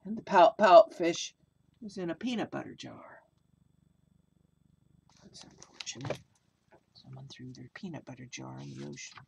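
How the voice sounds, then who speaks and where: A woman's voice: a bass voice, expressive, moderate pace, vocal fry, pauses often, heavy background noise. An older woman reading a children's picture book aloud expressively, with casual asides, in a small room at home. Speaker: children's story reader.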